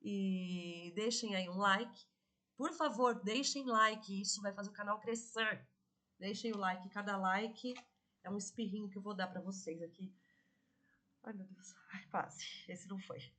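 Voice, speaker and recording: A woman with a cold, hoarse, hosting a spirituality reaction video and explaining slowly, checking in with her viewers. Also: medium pitch, pushed and bright. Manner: slow, explanatory, often checks in with "tá?".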